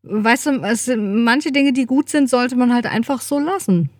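A woman's voice: fairly high pitch, normal speed, no room sound, clean studio sound. Slightly congested woman, casual podcast co-host.

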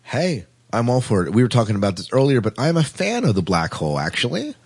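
A man's voice: medium pitch, sing-song, quick pace, darkish tone, breathy edge. A man co-hosting a casual podcast, chatting through science fiction news. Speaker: podcast co-host.

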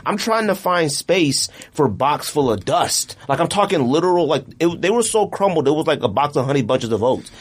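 A man in his late thirties hosting a casual podcast, talking in an opinionated, slangy, emphatic way. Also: medium pitch. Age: late 30s. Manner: animated, emphatic ranting with slangy address. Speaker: podcast host.

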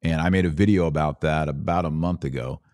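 A man's voice: a bass voice, fairly quick, darkish tone, no room sound, clean studio sound. A man hosting a livestream podcast, reading viewer comments aloud and answering them in an earnest, conversational way that rises to indignant emphasis. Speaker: podcast host.

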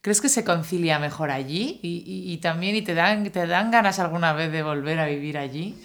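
A woman interviewer with a somewhat low voice, asking her guest friendly, meandering questions in a relaxed chat. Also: moderate pace. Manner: friendly, asks rambling multi-part questions. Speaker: co-host, interviewer.

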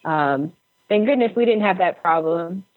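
A woman's voice: on the low side, animated, moderate pace. A woman giving casual advice as an interview guest, heard over a dull lo-fi call. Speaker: interview guest, actress and filmmaker. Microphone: remote call.

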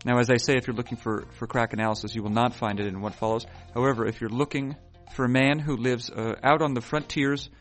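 A man hosting a podcast, talking in a wry, halting way full of fillers, sounding sleepy early in the morning in a hotel room. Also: medium pitch, fairly even intonation, soft background music. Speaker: podcast host. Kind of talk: rambling podcast intro and chat.

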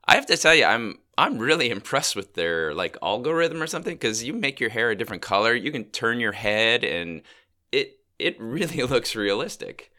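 A man's voice: medium pitch, sing-song, moderate pace, pushed and bright. A middle-aged man co-hosting a podcast while he has a cold, chatting casually with fillers and restarts. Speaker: co-host. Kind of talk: casual chat.

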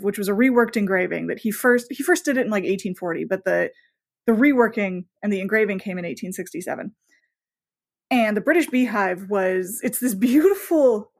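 A woman speaking casually as an interview guest, telling things in an animated, bookish and enthusiastic way. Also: medium pitch. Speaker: podcast guest, author.

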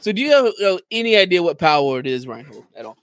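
A man's voice: sits high, very animated, fast talker, a bit bright, clear, resonant tone, dry recording. A man chatting casually as a podcast co-host, explaining video games with enthusiasm. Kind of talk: casual gaming podcast chat.

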